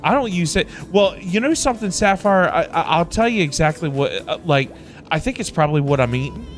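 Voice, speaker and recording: A man's voice: sits high, expressive, brisk. A man hosting a livestream, chatting casually in a rambling way and repeating phrases for emphasis. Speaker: livestream host. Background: music playing in the background.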